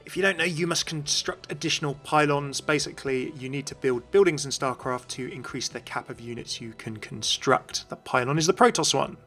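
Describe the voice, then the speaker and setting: A man's voice: a little high, brisk, hardly any pauses, sharp tone, faint music behind. A man narrating a video as a game commentator, explaining things in an informal way.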